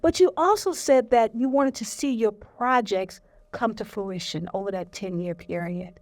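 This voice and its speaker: A woman's voice: medium pitch, moderate pace, darkish tone, no echo. A woman interviewing a poet on stage, enthusiastic and personal as she asks her questions. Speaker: interviewer, host. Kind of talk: onstage interview.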